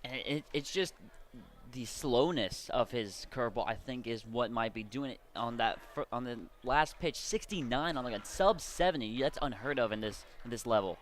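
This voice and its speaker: A man's voice: medium pitch, normal speed, a little hiss or hum. An American man giving chatty, explanatory live analysis as a baseball color commentator. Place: at a baseball stadium.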